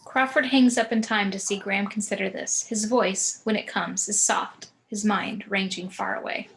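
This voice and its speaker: A woman's voice: mid-range voice, steady tone, normal speed, low-quality recording. A woman narrating scene directions for a screenplay table read, occasionally stumbling over words.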